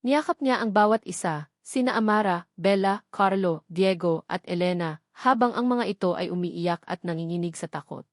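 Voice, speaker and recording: A woman's voice: fairly low pitch, moderate pace, no echo, clean studio sound. A woman with a Filipino accent reading a scripted, dramatic story as its narrator.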